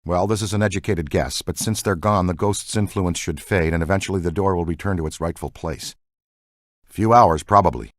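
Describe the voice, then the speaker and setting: An actor playing an excitable, geeky male scientist who investigates the paranormal in a video game, earnest and full of technical jargon. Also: low voice, brisk, no room sound, clean studio sound, natural voice. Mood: calm, serious. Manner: earnest, technical jargon, swings into boyish enthusiasm. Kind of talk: scripted game dialogue.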